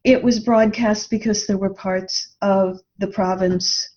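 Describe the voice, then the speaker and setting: An older woman telling personal stories conversationally in an interview, in a reflective and expressive way, voicing her own thoughts as she goes. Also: mid-range voice, takes it slowly. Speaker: podcast guest, writer and radio drama producer. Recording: dull band-limited lo-fi call audio.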